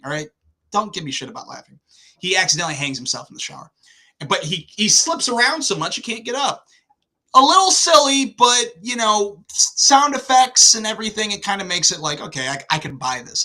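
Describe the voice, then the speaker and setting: Bright-voiced man with a lisp, reviewing films. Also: a little high. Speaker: host of a film review show. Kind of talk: casual, profane film review commentary.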